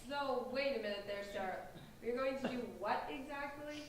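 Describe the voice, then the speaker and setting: A woman acts a snobbish teenage girl with a slightly high voice. She is sarcastic, bored and dismissive in a staged reading. It is heard from a distance in a very echoey room. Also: moderate pace, slight background noise, lightly acted. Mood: skeptical.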